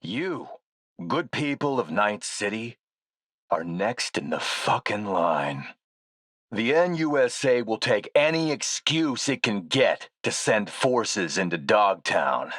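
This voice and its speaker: Slow, defiant warlord, low and dry. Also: male voice, big pitch swings, no echo, full character voice. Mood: defiant, ominous. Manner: deliberate, forceful, speechifying. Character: defiant, menacing warlord making a political speech. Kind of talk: scripted game voice acting, speech.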